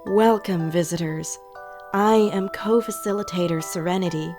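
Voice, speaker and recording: A woman's voice: lowish voice, moderate pace, close to an everyday voice. A woman playing a serene, beatific cult facilitator who speaks in formal, chanting phrases in a radio play. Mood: beatific, preachy. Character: serene female cult facilitator, blissfully welcoming, preachy. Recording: radio play with music behind.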